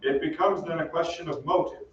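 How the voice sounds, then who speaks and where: Formal, no-nonsense inspector acted by a man, radio melodrama, slightly high voice, dull echoing recording. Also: steady tone, fairly quick, lightly acted. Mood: stern, official. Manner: clipped, formal, authoritative. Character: stern, formal, unbiased police inspector. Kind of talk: old-time radio drama acting. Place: theatre stage. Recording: echoey stage from far off, dull, noisy.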